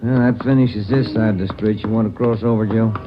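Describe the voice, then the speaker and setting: An actor playing a polite, unruffled police detective in a 1940s radio drama, talking plainly and laconically. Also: male voice, lowish voice, steady tone, fairly quick, close to an everyday voice. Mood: polite, businesslike. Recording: muffled, slightly noisy old radio recording.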